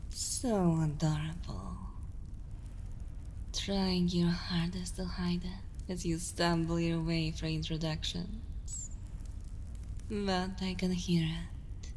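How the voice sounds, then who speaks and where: Evil queen for ASMR drama, female performer: very unhurried, hushed, commanding, coolly amused, seductive menace, faint room noise behind. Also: on the low side, little pitch movement, poor audio. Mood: amused, predatory. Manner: slow, deliberate, hushed delivery slipping into whispers; commanding, condescending, teasing. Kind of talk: ASMR roleplay, intimate dramatic monologue.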